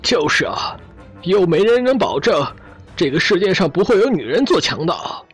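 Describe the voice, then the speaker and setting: An actor playing a flippant, animated man in an audio drama, with a husky voice. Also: sits high, moderate pace, no echo, soft background music, full character voice. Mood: flippant, sly.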